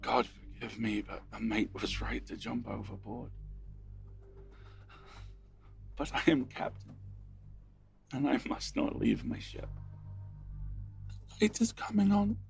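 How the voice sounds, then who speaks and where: A man playing a weary, fearful but dutiful ship's captain in an audio drama, grave and halting as if recording a log. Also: mid-range voice, sing-song, vocal fry, full character voice. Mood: anguished resolve. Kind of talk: audio drama acting, reading a logbook.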